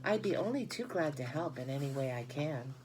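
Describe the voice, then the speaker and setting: A woman's voice: low voice, expressive, brisk, background noise. A woman voicing a young male pig who is innocent, affectionate and anxious, asking things in an earnest, childlike way. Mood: eager. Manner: earnest, pleading, childlike questioning.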